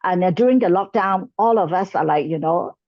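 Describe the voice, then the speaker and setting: A woman from Hong Kong speaking as a panelist at an online conference, talking haltingly with many fillers and restarts. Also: lowish voice, dry recording, highs cut off. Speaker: chief sustainability officer, panelist. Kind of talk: conference panel talk over video call.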